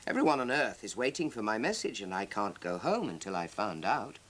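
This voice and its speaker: A man playing an earnest spaceman, lively pitch. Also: a little fast. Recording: hissy 1970s TV soundtrack.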